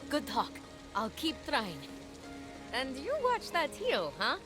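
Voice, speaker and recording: A woman's voice: sits high, huge pitch swings, takes it slowly, full character voice. A woman playing an earnest, plucky young hero from Greek myth in a video game, casual and sincere in delivery. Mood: earnest, hopeful. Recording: music behind the voice.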